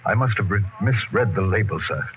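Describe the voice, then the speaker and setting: A dull, noisy old radio recording. A man portrays a guilt-ridden ship's surgeon in a 1950s sci-fi drama. The very deep voice is hesitant, nervous and apologetic. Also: fast talker, close to an everyday voice. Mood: nervous, evasive. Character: guilty, nervous male expedition surgeon with failing eyesight.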